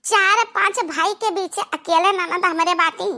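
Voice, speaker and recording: Picture a quarrelsome young village sister-in-law from a cartoon comedy. Her Awadhi-accented voice sits extremely high and rings sharp and piercing. She gossips and scolds with theatrical flair. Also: fairly even intonation, a little slow, full character voice. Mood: gleeful, scheming. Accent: Awadhi, eastern Uttar Pradesh.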